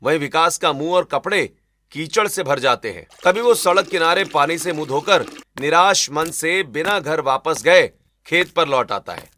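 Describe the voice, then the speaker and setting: A man's voice: on the high side, lively intonation, moderate pace, bright, forceful tone. A man reading scripted narration for a cartoon story as the narrator.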